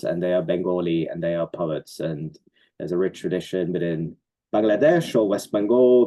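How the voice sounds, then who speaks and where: Deep, mellow-voiced male poet. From Norway. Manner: reflective, earnest, with soft laughs and fillers. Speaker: poet and community organizer. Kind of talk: conversational interview answers.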